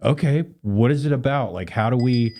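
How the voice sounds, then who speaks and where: A man speaking as a guest in a conversational interview, explaining things in an earnest, measured way. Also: mid-range voice, warm tone. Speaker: podcast guest, Rotary club volunteer.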